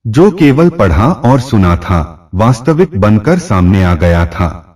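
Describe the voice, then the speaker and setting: A man's voice: on the low side, sing-song, slow, a little mellow, distant mic. A man narrating a scripted short story as a storyteller, recorded a little away from the mic.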